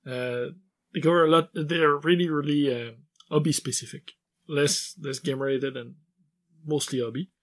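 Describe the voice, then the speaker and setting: A man co-hosting a hobby podcast, easygoing and quick to laugh. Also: fairly high pitch, fairly even intonation. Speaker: podcast co-host. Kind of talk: casual chat.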